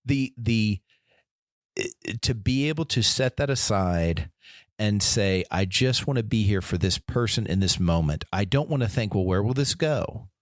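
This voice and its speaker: A man's voice: lowish voice, lively intonation, measured pace, no room sound, clean studio sound. A man co-hosting a casual faith podcast, talking earnestly with frequent restarts and quoted imagined voices. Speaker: podcast co-host.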